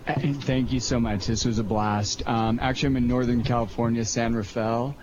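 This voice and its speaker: A man's voice: medium pitch, flat delivery, fairly quick. A man from the United States talking casually and earnestly as an appreciative interview guest. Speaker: guest actor and producer. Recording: dull lo-fi line with clear noise.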